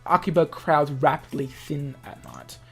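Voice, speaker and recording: A man's voice: fairly high pitch, big pitch swings, a little slow, creaky. A man hosting a gaming video, reading a visual novel aloud with casual asides and correcting himself as he goes. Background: music.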